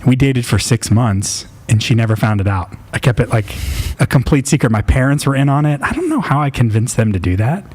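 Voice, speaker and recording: A man's voice: medium pitch, big pitch swings, brisk, few pauses, darkish tone, slightly rough. A man in his late thirties hosting a podcast, chatting casually and telling personal stories in an informal, self-deprecating way. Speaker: podcast host.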